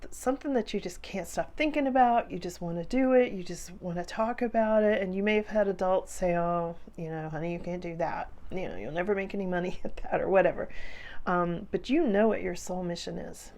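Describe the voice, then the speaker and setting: A slightly hoarse woman giving a casual, chatty tarot reading, speaking directly to her viewers and repeating phrases for emphasis. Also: on the low side, a little fast, darkish tone. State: slightly hoarse after a cough. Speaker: tarot reader and channel host.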